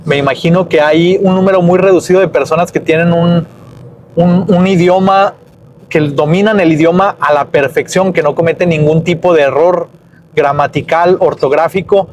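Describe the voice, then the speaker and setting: A man talks through a lesson while walking home outdoors. His voice sits a bit high, and he wanders, backtracks and corrects himself in a loose, chatty way. Faint outdoor ambience hums underneath. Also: fairly even intonation, normal speed, darkish tone, slight background noise. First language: Spanish. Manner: rambling, self-correcting, chatty. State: dry-mouthed. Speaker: German teacher and vlogger.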